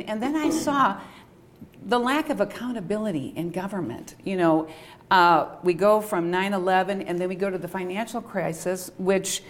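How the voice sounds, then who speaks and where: A middle-aged woman from Minnesota introducing herself to a group in an emphatic, enthusiastic way, stretching words she stresses. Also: mid-range voice. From Minnesota, United States. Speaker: political discussion panelist. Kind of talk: casual personal introduction to a group.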